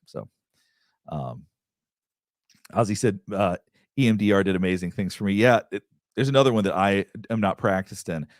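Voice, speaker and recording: A man's voice: deep voice, no echo, studio quality. A middle-aged man, a therapist, rambling casually through viewer questions with lots of restarts and fillers. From Southeastern United States. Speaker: therapist hosting a live Q&A. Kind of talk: casual livestream chat answering viewer questions.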